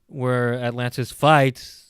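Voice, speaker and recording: A man with a clear, mid-pitched voice that is a little worn from talking late at night. He reviews a show in a casual, rambling way, often pausing and correcting himself. The sound is close and dry. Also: flat delivery, dry recording. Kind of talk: casual recap and opinion commentary.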